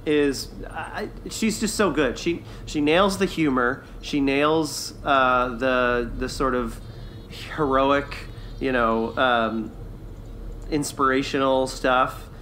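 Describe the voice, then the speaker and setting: A man's voice: medium pitch, slow, a bit bright, slight background noise. A man co-hosting a podcast, talking in an enthusiastic, chatty way in a casual review discussion. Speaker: podcast co-host.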